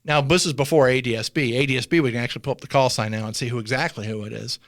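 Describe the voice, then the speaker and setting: A man's voice: medium pitch, rapid-fire, fairly bright tone, no room sound, clean studio sound. A man speaking informally as a former air traffic controller, telling and explaining things in an anecdotal way, often voicing bits of dialogue.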